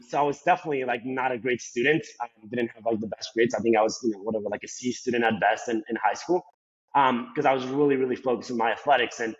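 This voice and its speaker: A young man from Colombia talks quickly, his Colombian accent showing through a native Spanish speaker's English. He rambles casually, stacking fillers, at a mid-range pitch. The recording sounds slightly dull and lo-fi. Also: slightly rough. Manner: rambling, heavy on "like" and "you know" fillers. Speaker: podcast guest. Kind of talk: casual interview talk.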